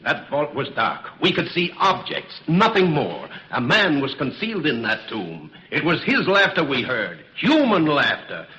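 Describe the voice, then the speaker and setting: A man's voice: on the high side, huge pitch swings, measured pace, few pauses, bright, forceful tone, dull audio, full character voice. An actor playing a stubborn, scornful middle-aged father in an old radio horror drama, talking with defiant bluster. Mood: accusing certainty. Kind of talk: 1940s radio horror acting.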